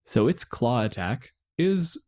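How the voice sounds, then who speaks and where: A man co-hosting a casual podcast chat, reading out game rules in a measured, explanatory way. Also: mid-range voice, sing-song, darkish tone. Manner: reads stat blocks in a measured, explanatory way. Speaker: podcast co-host.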